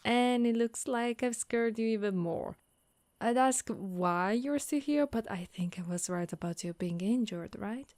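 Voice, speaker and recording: A woman's voice: on the high side, measured pace, darkish tone, no room sound, lightly acted. A woman voicing a caring, wry female Dullahan, an undead knight, in a reassuring, teasing, conversational ASMR monster roleplay. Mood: soothing, flustered. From Italy. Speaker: voice actress.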